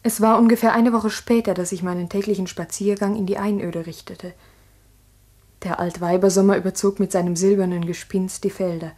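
Actress as an earnest, slightly husky village schoolmistress. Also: female voice, mid-range voice, fairly even intonation, brisk, a little mellow, close to an everyday voice. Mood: reflective. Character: earnest, strict village schoolteacher, a single woman. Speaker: actor and first-person narrator. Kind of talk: 1960s German radio drama acting and narration.

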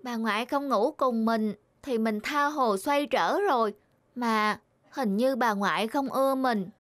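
A woman playing a plucky, inquisitive, mischievous little granddaughter in an animated film, polite but insistent. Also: fairly high pitch, a little slow, dry recording, close to an everyday voice. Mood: pensive. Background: music.

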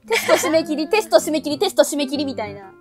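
High-pitched young Kansai woman, chatty. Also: very animated, quick pace. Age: young adult. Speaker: student video editor. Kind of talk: casual behind-the-scenes group chat. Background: guitar music.

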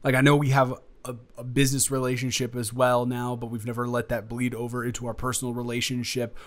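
Guy acting as a nervous young man sweet on his best friend, rambly and stammering, chatty audio roleplay, medium pitch. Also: completely flat delivery, quick pace, lightly acted. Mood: earnest, affectionate. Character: nervous, devoted young male friend about to confess love. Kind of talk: improvised intimate audio roleplay, conversational.

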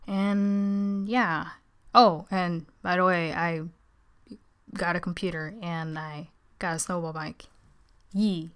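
A teenage girl with a naturally low voice, tired and subdued, giving a casual, rambling, hesitant update as a vlogger. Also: steady tone, slow pace, clear, resonant tone. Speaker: video channel creator.

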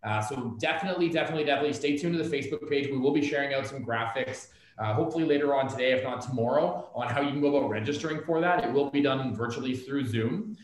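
A man's voice: mid-range voice, fast, few pauses. A man in his thirties presenting an office update over a video call, earnest and repeating himself as he goes. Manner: earnest, repeats words, restarts often. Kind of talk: casual meeting presentation. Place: office meeting room. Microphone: laptop camera on video call, seated back from it. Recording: very echoey room, off-mic.

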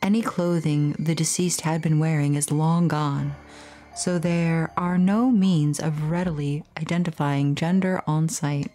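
A woman's voice: low-pitched, fairly even intonation, a little slow, warm tone. A woman game streamer casually playing a horror game and reading its text aloud. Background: game music.

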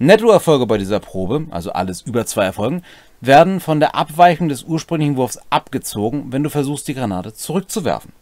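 A man's voice: mid-range voice, sing-song, brisk, darkish tone, no room sound. A middle-aged man co-hosting a casual explanatory chat about tabletop game rules. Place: small cluttered home room.

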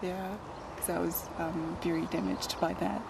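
A young woman from Taiwan, raised in New Zealand, answering interview questions hesitantly, with many pauses, on a handheld mic outdoors. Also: fairly low pitch, little pitch movement, creaky, heavy background noise. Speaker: student guest. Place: outdoors in a garden by a stone building.

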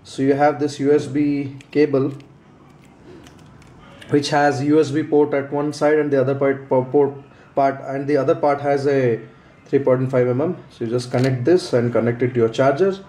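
A man's voice: fairly high pitch, warm tone. A man giving a casual unboxing and how-to demonstration, explaining step by step in a hesitant, repetitive way. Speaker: product reviewer. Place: indoors, small room at a tabletop. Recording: roomy echo, faint background noise.

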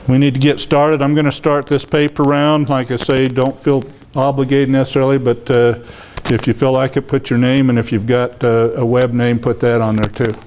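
A man's voice: medium pitch, little pitch movement, moderate pace, a little hiss or hum, rough recording. An older man giving a loose, rambling library talk to a seated audience, full of fillers and restarts, on a lapel mic as he walks around. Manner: says he has a loud voice. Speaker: guest speaker. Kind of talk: informal public lecture. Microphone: lapel mic, walks around the room.

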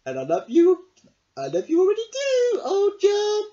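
A storybook reader voicing an eager, chatty, needy duck with an excitable, pleading manner. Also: extremely high pitch, moderate pace, pitched above the performer's own voice, full character voice. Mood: delighted. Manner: excitable, pleading, swooping exclamations. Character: eager, chatty, needy cartoon duck, pestering best friend. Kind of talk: picture book read-aloud character voice. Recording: dull lo-fi home recording.

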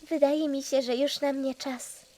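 A woman playing a polite, caring teenage girl, softly spoken, in an anime fan dub. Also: sits high, steady tone, measured pace, slight background noise. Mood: gentle, polite.

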